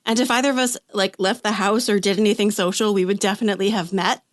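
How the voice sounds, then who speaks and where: A woman guest on a podcast, telling personal stories in a chatty way with quick asides, her throat a little rough after an illness. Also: mid-range voice, steady tone, no room sound, clean studio sound. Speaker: guest, author. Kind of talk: casual podcast conversation.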